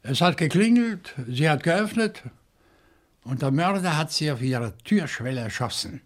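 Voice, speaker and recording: An actor playing a calm, probing questioner in a German radio crime drama, speaking deliberately and insistently. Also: male voice, fairly high pitch. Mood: grave, deliberate. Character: calm, probing man questioning a suspect, Monsieur Fougère.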